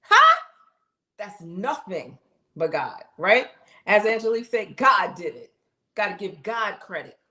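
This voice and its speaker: A middle-aged woman streaming live, telling viewers her own story in a casual, animated way and talking straight to them. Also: medium pitch, a little slow, long pauses, reverberant. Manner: animated, talks straight to the audience, exclaims, laughs. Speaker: live streamer sharing her health journey.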